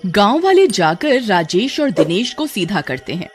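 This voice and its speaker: A narrator telling a cartoon horror story in steady, scripted storytelling. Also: mid-range voice, a little slow, soft background music.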